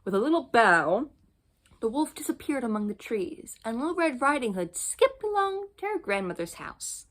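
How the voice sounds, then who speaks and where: A woman's voice: fairly high pitch, sing-song, moderate pace. A woman narrating a children's bedtime story as she reads from a storybook. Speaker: storybook reader.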